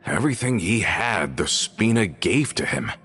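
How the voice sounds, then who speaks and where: Loyal gang member, deep voice, earnest, explains at length, faint background noise. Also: male voice, sing-song, moderate pace, a bit bright. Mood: shaken. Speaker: henchman to the boss. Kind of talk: game voice acting.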